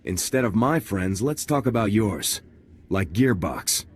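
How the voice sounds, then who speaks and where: A special forces officer in a video game. His voice is fairly low, and he speaks in a clipped, formal, businesslike way. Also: big pitch swings, measured pace, breathy edge, exaggerated voice. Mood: firm. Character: businesslike special forces lieutenant, terse and dutiful.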